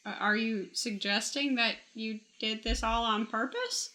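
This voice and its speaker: A woman's voice: fairly high pitch, measured pace, bright, forceful tone. A woman playing an aggrieved, sardonic bedbound man with a dry, complaining manner in an improvised comedic radio play.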